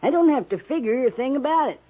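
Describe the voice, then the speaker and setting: An old frontier woman, sly and sassy in a high voice. She teases in folksy backwoods talk. It comes through a thin old radio western recording. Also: lively intonation, quick pace, breathy edge, narrow, tinny audio. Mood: sly, teasing. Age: older. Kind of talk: 1950s radio western acting. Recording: thin, band-limited 1950s radio recording.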